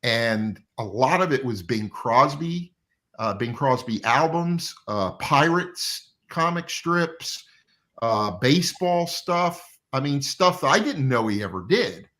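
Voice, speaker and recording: Older-ish guy chatting casually as an interview guest, rambly storyteller, medium pitch. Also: sing-song, slow, sharp tone. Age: middle-aged or older. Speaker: comic book artist and interview guest. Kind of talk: casual reminiscing interview chat.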